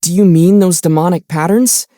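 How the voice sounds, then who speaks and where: A man voicing a calm young traveler hero in a video game, measured and gently teasing. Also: high voice, no room sound, clean studio sound, natural voice. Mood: thoughtful. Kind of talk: video game character dialogue.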